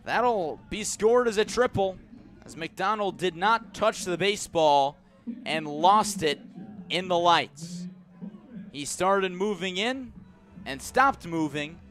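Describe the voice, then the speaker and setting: A man with an American accent calling live baseball play-by-play on the radio, measured between pitches and rising on big plays. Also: expressive, fairly bright tone, soft background music.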